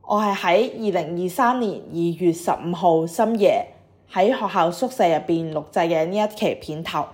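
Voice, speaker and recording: A woman's voice: on the low side, normal speed, a little mellow. A woman in her early twenties reads a scripted podcast introduction as the host. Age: early 20s. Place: university dormitory room.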